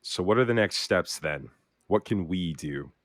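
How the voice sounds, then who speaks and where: A man acting as a distrustful, questioning character in an audio drama. His voice is deep, with lively swings in pitch, and is captured close and dry. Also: a little slow, dry recording. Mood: wary.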